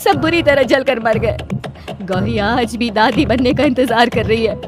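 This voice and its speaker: Woman as a strict older mother-in-law, cartoon, firm tone, slightly high pitch. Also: a little slow, music in the background, close to an everyday voice. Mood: grave. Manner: firm, scolding, instructing. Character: stern older mother-in-law, protective and superstitious. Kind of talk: cartoon voice acting.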